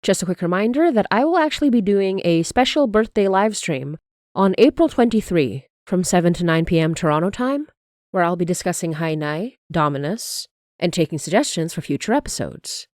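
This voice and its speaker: A woman's voice: fairly low pitch, darkish tone, dry recording, clean studio sound. A woman hosting a podcast, reading scripted announcements and thanks to listeners in an enthusiastic manner. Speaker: podcast creator and host.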